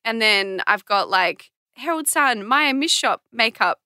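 A young Australian woman talks casually on a podcast with a bright, crisp-edged voice. Her intonation is very lively and playful, rising and dipping freely. The recording is dry, with hardly any room sound. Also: fairly high pitch, a little slow, dry recording. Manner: playful, expressive. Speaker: podcast co-host. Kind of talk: casual chat.

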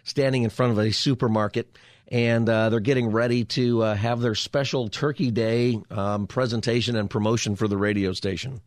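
A hoarse, raspy male radio talk host chatting casually from his studio, rambling with restarts. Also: medium pitch, fairly even intonation, moderate pace. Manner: meandering, lots of fillers and restarts. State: hoarse from a cold. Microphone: studio mic.

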